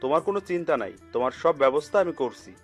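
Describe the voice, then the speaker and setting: A man's voice: a little high, lively intonation, fast. A man playing a kindly, generous middle-aged uncle in an audio story, hearty and reassuring. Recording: music under the voice.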